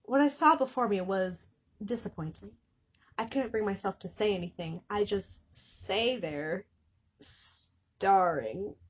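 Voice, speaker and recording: A woman's voice: mid-range voice, slow pace, breathy edge. A woman hosting a comedy show, reading fan fiction dramatically with incredulous, giggly asides. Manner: breaks into giggles and incredulous commentary.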